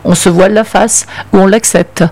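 Woman, radio panelist, ironic, indignant, medium pitch. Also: fairly even intonation, moderate pace, darkish tone. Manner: ironic, indignant, reads out excerpts. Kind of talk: casual radio discussion.

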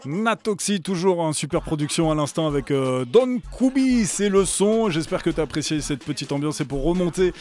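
Man, radio host, studio mic, casual rambling banter, many hesitations, slightly high pitch, faint music behind. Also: lively intonation, moderate pace, few pauses. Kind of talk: casual radio chat between songs.

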